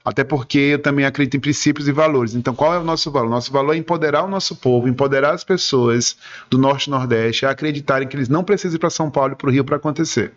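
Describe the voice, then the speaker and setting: A man's voice: mid-range voice, fast, hardly any pauses, muffled recording. A man who works in advertising and entertainment, talking earnestly and at length in a radio interview, often restarting his sentences. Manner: earnest, explanatory, rambling with restarts. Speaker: guest, advertising and entertainment businessman.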